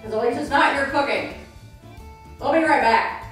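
A woman's voice: high voice, moderate pace, a bit bright. A woman playing Selina, heckling Batman from off camera with teasing, sarcastic remarks in a comedic cooking video. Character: Selina teasing Batman, mocking him with quacks. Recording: very echoey room, far from mic, faint music.

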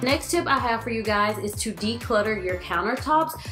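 A woman's voice: a little high, lively intonation, normal speed, reverberant, over music. A young woman vlogger giving casual, upbeat home cleaning tips, speaking to her viewers. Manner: chatty, upbeat, addresses viewers as you guys. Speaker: lifestyle vlogger. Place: large home, bedroom.

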